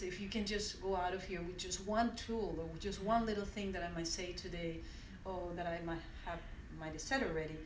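A woman in her forties from Venezuela, whose first language is French, gives a reflective personal talk to an audience, often pausing and restarting. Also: lowish voice, slight background noise. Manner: reflective, with many restarts and hesitations. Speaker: conference speaker. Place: university classroom, indoors. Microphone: standing mic, recorded by a phone from the audience. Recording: echoey room from far off.

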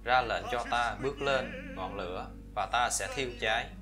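A man delivers a scripted voice-over for a fairy-tale cartoon in a mid-pitched voice. He reads every character himself as translator. Loud operatic film music plays clearly behind his voice. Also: normal speed.

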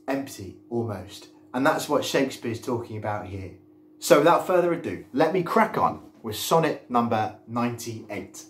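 A British man in his thirties, a schoolteacher speaking to students on video in an animated, direct manner, recorded at home. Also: mid-range voice. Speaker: schoolteacher presenting a poetry series. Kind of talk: video address to students with poetry recitation. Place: living room at home, indoors. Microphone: device mic a step away. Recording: roomy home echo.

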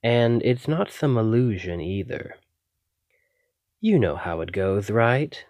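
Male vampire, played by a man, ASMR roleplay: lowish voice, theatrical and polite with obsessive interest, very dry close sound. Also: animated, a little mellow, dry recording. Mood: coy, revealing. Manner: polite, coy, measured, theatrical. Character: obsessive, courteous male vampire posing as lab technician. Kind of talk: ASMR roleplay addressed to the listener.

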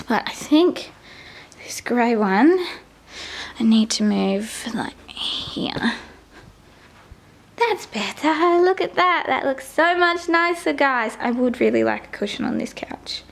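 A woman's voice: a little high, vocal fry. A young Australian woman in her twenties, chatting casually to a handheld camera at home and in the car. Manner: chatty, talking straight to the camera. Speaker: vlogger. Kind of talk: casual vlog chat. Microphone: handheld camera at arm's length.

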